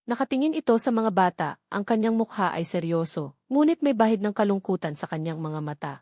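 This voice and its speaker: Lady reading a dramatic love story, medium pitch, really dry sound with no room on it. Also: expressive, fairly quick, warm tone, dry recording. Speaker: story narrator. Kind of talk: scripted dramatic narration of a romance story.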